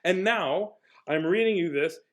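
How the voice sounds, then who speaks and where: A man voicing a silly, excitable robot monkey from a children's storybook, in a goofy, exclamatory way. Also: high-pitched, a little slow, voice pushed up. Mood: goofy, boastful.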